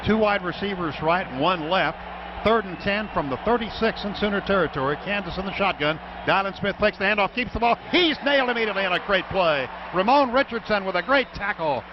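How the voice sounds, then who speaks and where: A man with an American accent and a fairly high voice delivers live football play-by-play for radio. The broadcast sound is somewhat dull, with clear stadium noise behind him. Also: expressive, fairly quick. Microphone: broadcast booth microphone.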